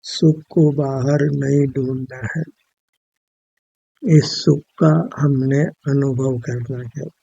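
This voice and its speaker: A man leading a guided meditation with spiritual teaching, speaking in measured, formal, instructive phrases. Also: medium pitch, flat delivery, vocal fry, warm tone, no echo, lo-fi sound.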